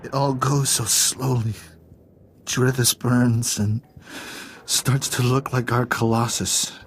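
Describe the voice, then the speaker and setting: Casting: confused, drugged slave, mid-pitched, stammering, disjointed, eager to obey, game drama. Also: male voice, fairly even intonation, slow, a little hiss or hum. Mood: dazed, halting. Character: drugged male slave, dazed, confused and compliant. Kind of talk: video game character acting.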